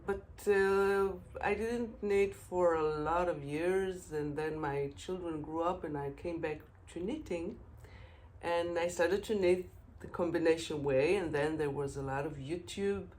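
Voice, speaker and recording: Slow, low-voiced Israeli woman, halting. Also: darkish tone. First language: Hebrew. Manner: halting, frequent fillers, searching for English words. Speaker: knitting podcast host. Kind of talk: casual knitting podcast monologue.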